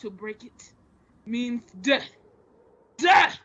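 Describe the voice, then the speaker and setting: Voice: mid-range voice, lively intonation, extremely slow, a bit bright, heavily acted. An actor playing a stern, vengeful old Puritan ghost in a radio drama, with solemn, archaic, commanding speech. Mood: commanding, threatening. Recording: dull lo-fi audio, background ambience noise.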